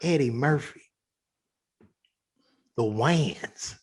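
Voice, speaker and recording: A man's voice: medium pitch, drawn-out words, slightly rough. A man from Chicago's South Side with a Chicago accent, a guest comedian chatting on a podcast in a loose, profane way full of fillers. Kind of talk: casual podcast chat.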